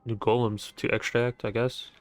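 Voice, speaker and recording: A man's voice: medium pitch, little pitch movement, moderate pace, soft background music. A young man giving casual, offhand gameplay commentary as a video host, laid-back in manner.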